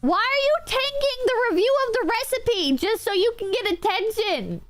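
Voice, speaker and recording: A woman streamer with a nasal, stuffy-nosed voice and a sore throat from a cold, chatting excitably and yelling and swearing in bursts. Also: very high voice, moderate pace, dry recording, faint music behind. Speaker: streamer reading out online reviews. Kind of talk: casual livestream chat.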